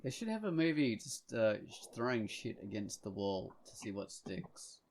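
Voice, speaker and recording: Man with a noticeably creaky voice. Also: on the low side, big pitch swings, normal speed, darkish tone, a little hiss or hum. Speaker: podcast co-host. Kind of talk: casual, rambling panel chat.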